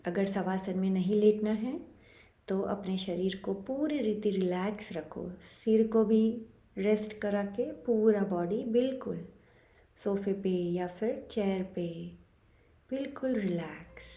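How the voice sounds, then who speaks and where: Slow-speaking woman guiding meditation. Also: medium pitch. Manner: soothing, gently instructing, with long pauses. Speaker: yoga nidra meditation instructor. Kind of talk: guided meditation. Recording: roomy echo. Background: soft music.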